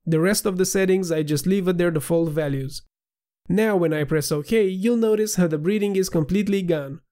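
A man with a slightly nasal voice, giving a steady, clear scripted voiceover for a software tutorial. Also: sits high, normal speed. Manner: clear, steady instructional delivery. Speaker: tutorial narrator.

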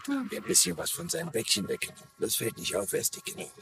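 Glib prisoner of war, fast-talking, hugely varied pitch, coaxing, flippant, comedy dub. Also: male voice, huge pitch swings, creaky, heavily acted.

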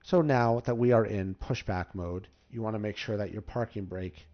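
A man's voice: fairly low pitch, moderate pace. A man giving casual, step-by-step commentary as host of a game tutorial. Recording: slightly dull, muffled microphone.

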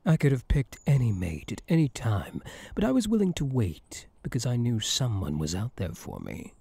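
A man playing a possessive yet tender elf hunter in an intimate ASMR roleplay, speaking in a coaxing, soothing and intense way with a husky voice. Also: medium pitch, wildly swooping pitch, moderate pace, mellow, no room sound, exaggerated voice. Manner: coaxing, soothing, intense, often dropping to a whisper.

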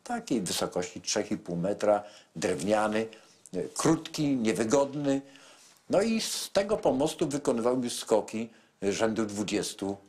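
A man's voice: lowish voice, sing-song, a little slow, a little mellow, slight background noise. An older man explaining history in an interview with many hesitations, seated in a small wooden boat cabin.

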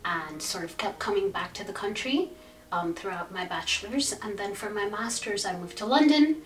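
A woman's voice: mid-range voice, moderate pace, echoey room, recorded from a distance, slight background noise, poor audio. A young woman from India in her twenties, telling her story fluently and thoughtfully in an interview. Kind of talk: casual interview answers. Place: plain indoor room.

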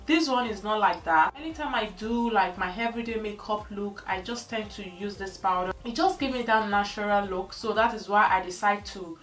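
A woman's voice: mid-range voice, brisk, few pauses, far from the mic. Chatty young woman in a roomy echo. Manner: relaxed, chatty, filler "like". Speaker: beauty vlogger. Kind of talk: casual makeup tutorial talk. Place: indoors at home, plain room. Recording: roomy echo, a little off-mic.

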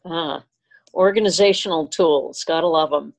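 A woman's voice: sits low, moderate pace, poor audio. A woman chatting casually with a group on a video call. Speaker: club member chatting in meeting.

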